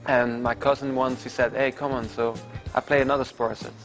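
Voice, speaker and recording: Swiss man, halting English. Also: medium pitch, flat delivery, a bit bright, faint music behind, poor audio. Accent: Swiss German. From Switzerland. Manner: halting non-native English with filler "like". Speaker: professional soccer player. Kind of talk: casual interview.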